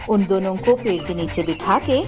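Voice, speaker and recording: A woman's voice: medium pitch, normal speed. A woman narrating a children's story as a storyteller. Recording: clear music behind the voice.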